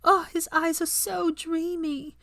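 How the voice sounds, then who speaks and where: A shrill, excitable schoolgirl, as a woman narrator acts her out in a storybook reading. The voice sits very high and badgers like a nosy gossip, recorded close and dry. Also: slow, gravelly, no room sound, exaggerated voice. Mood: excited, nosy. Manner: snappish, badgering with questions.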